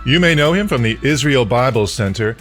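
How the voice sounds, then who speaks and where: A man's voice: mid-range voice, lively intonation, fairly quick, over music. A man hosting a podcast, introducing his guest and asking interview questions. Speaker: podcast host.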